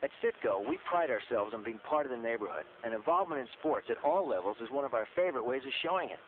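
A man's voice: mid-range voice, expressive, fast. A man reading a scripted radio commercial as a voice-over announcer. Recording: tinny, noisy radio broadcast.

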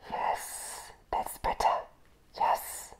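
A young man playing a creepy, crazy circus clown in a breathy ASMR roleplay whisper, halting and repeating himself. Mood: unhinged, teasing.

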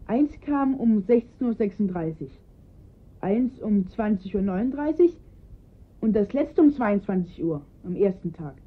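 Earnest young boy in husky, phone-like sound. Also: on the high side. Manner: earnest, precise, detailed recounting. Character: bright, observant young boy, earnest kidnap witness. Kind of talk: German radio crime drama acting. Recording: muffled phone-like band-limited sound.

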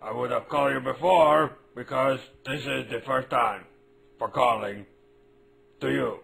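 Cartoon schemer: very gravelly and forceful, slow, clumsy bluffer leaving phone messages. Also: male voice, mid-range voice, steady tone, rough recording. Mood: awkwardly scheming. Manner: halting, fake-formal, blustering. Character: mischievous gruff male prank caller, bluffing clumsily.

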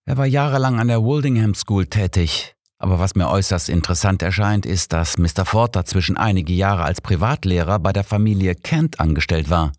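A man's voice: sits low, lively intonation, brisk, hardly any pauses, darkish tone, no echo, studio quality. Man voicing an earnest, officious police inspector. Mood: informative.

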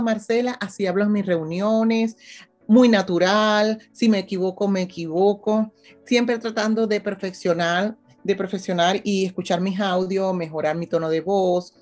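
A woman in her fifties from Venezuela with a strong Venezuelan accent, talking as an interview guest in an animated, conversational way and often checking in with her listener. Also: mid-range voice, normal speed, soft background music. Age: 50s.

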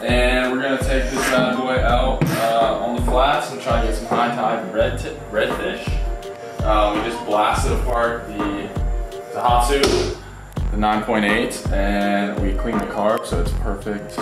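A man's voice: medium pitch, level tone, a little slow, over loud music. A young man vlogging casually about fishing, rambling with fillers, recorded first in a garage and then out on the water. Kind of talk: casual vlog talk. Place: home garage, then a paddle board out on the marsh. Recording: echoey garage, then windy outdoor camera audio.

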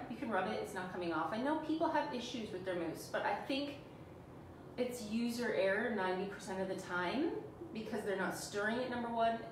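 Chatty Canadian woman in an echoey room. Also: medium pitch. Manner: chatty, self-teasing, talks to viewers while working. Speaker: furniture painting tutor. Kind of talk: live painting demo chat. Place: small room indoors, sitting on the floor. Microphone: camera on a stand a few feet away. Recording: echoey room, far from mic, background hum.

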